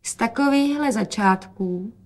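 A woman voicing a sick old father in a story, slow, wistful and resigned. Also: on the high side, natural voice.

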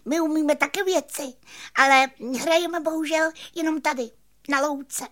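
A woman voicing a tiny, excitable male cricket in a children's story, squeaky and chattering with repeated words. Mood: chatty pride. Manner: chattering, stammering repetitions.

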